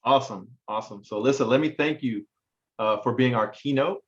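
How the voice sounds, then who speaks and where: Male virtual event host, quick and courteous, slightly lo-fi sound. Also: medium pitch.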